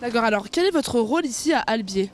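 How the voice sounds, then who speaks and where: A woman's voice: on the high side, lively intonation, fast talker. A woman interviewing locals as a courteous regional TV reporter, asking questions and recapping answers.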